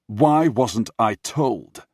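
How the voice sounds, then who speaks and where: A man's voice: mid-range voice, lively intonation, drawn-out words, no room sound, studio quality, voice pushed up. Man as a raised-voice, imperious prince. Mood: demanding. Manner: commanding, forceful, impatient. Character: imperious, desperate, hot-tempered prince giving orders. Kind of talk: audiobook character dialogue.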